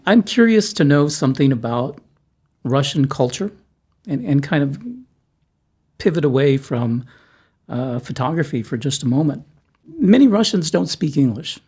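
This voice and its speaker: Middle-aged guy from south texas hosting a chat, husky voice, stumbles and repeats words, cracks jokes. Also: a little high, animated, a little mellow. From South Texas, USA. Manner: halting, repeats words, jokey. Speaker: host. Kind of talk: casual conversation.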